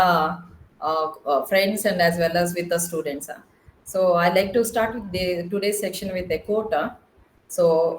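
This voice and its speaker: Middle-aged woman lecturer, low voice, polite and halting, roomy echo on the call. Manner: halting, many pauses on uh, polite. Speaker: guest lecturer, associate professor. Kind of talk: online academic lecture. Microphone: video call. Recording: echoey room over a video call.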